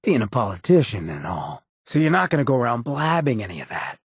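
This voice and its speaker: An actor playing a streetwise, blunt yet loyal ghoul mayor in a video game, with a husky rasp and a slangy drawl. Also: male voice, mid-range voice, sing-song, a little fast, dry recording, studio quality. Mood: confessional. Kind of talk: video game companion dialogue.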